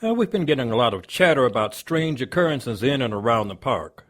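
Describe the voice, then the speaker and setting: Husky, gruff police lieutenant. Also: male voice, medium pitch, very animated, fairly quick. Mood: businesslike, impatient. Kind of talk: audio drama acting.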